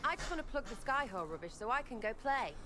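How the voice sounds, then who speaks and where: An actress voicing an irreverent young archer in a video game, blunt, skeptical and slangy, with a British accent. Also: female voice, on the high side, sing-song, fast talker, sharp tone, echoey room. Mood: skeptical, rattled. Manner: cheeky, slangy, choppy phrasing. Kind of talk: video game character dialogue.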